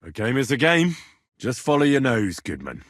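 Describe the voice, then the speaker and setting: Casting: brash young medieval squire, husky, lively intonation, blunt and quick-tempered game hero, dry close sound. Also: male voice, medium pitch, no echo. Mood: conversational. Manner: blunt, colloquial, assertive. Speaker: video game protagonist. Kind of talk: video game dialogue acting.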